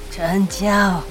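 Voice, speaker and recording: Elderly shopkeeper voiced by a woman, greedy, sly, very slow drawl, teasing menace, medium pitch, audio drama.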